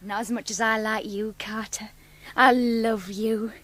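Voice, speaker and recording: A woman's voice: fairly high pitch, fairly even intonation, unhurried, a bit bright, dry recording. An actress playing a prim, coy young girlfriend who dreams of marriage. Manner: coy, prattling.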